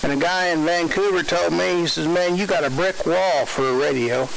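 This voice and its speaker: Folksy Southern man, 60s, fry in a high voice, casual CB radio chat with faint hiss. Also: normal speed, poor audio. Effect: CB radio transmission. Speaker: amateur CB radio operator. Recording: hissy CB radio with light static.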